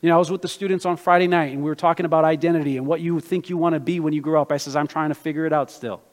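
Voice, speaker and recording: Man, pastor, sermon, personal storytelling, slightly high voice, long hall reverb. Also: racing. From Brazil, raised in the US. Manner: animated storytelling preacher, personal anecdotes.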